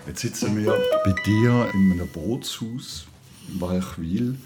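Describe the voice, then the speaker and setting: A man's voice: fairly low pitch, unhurried, over loud music. A man from Basel in Switzerland speaking as an interviewer, informally and conversationally.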